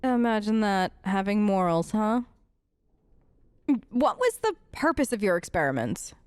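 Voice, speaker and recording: A woman's voice: medium pitch, sing-song, a little slow, clean tone, no echo. A woman doing casual role-play commentary for a video game, wry and conversational, on a close microphone. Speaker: let's play host.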